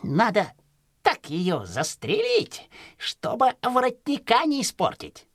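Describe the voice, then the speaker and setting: An actor playing a stooped, folksy old peasant hunter in a children's audio play, grumbling yet kindly and muttering to himself. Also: male voice, high voice, wildly swooping pitch, slow, a bit bright, slightly rough, no room sound, studio quality, full character voice. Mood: scheming.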